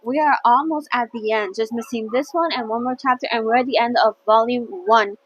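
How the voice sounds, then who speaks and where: A woman's voice: fairly high pitch, steady tone, a little fast, breathy edge, muffled recording. A woman hosting a casual, chatty read-along of a novel, playful and often stumbling and correcting herself as she reads. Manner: playful, stumbles and self-corrects when reading. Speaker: host reading a novel aloud.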